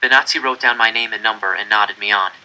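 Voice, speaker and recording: A man reading a first-person story aloud in an expressive, deliberate, dramatic way. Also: lowish voice, low-quality recording. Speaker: audiobook reader.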